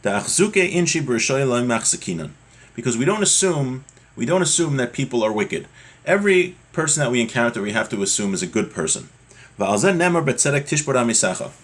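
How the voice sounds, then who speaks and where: A man's voice: mid-range voice, very animated, fairly quick. A man giving an explanatory religious lesson, reading Hebrew text and translating it into English in a conversational way with examples. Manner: conversational, explains with hypothetical examples and acted-out quotes. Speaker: religious teacher giving a Torah class.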